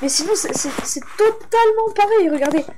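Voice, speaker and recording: A woman's voice: extremely high pitch, lively intonation, fairly quick, noisy background. A young woman with a stuffy head cold, rambling through a casual showcase of a game map for her channel, with many fillers and restarts. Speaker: gaming YouTuber.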